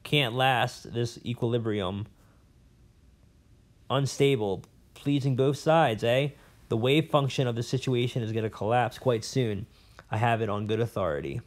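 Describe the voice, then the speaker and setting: A man's voice: medium pitch, a little slow, close to an everyday voice. A man voicing a pompous, rambling old male professor in an audiobook, blustering and fussy. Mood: conspiratorial. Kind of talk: audiobook character voice.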